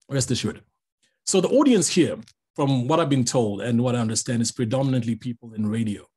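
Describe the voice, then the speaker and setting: Middle-aged male voice-over pro, mid-pitched, a little husky, relaxed and chatty, online class over a video call. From Zambia. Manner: relaxed, chatty, jokes aside to the audience. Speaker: voice-over artist teaching a master class. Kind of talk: online master class talk.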